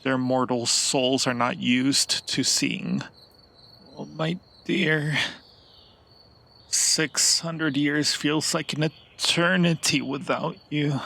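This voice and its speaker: Slow, gravelly, seductive male vampire, acted by a man. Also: on the high side, sharp tone, heavily acted. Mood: hushed, urgent. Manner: theatrical, intimate, lingering, with dramatic pauses. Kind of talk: improvised ASMR roleplay addressed to the listener.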